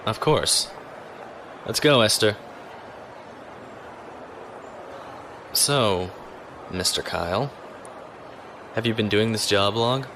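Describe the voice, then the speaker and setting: A man playing a calm, courteous space navy lieutenant in an audio drama, speaking in a measured, dry way. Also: lowish voice, noisy background. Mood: polite. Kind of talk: audio drama acting with log narration.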